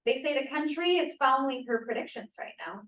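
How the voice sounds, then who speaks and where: A woman's voice: high-pitched, lively intonation, a little fast, a bit bright. An actress playing a spirited woman activist in a table read of a play. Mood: proud. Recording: roomy echoing table read.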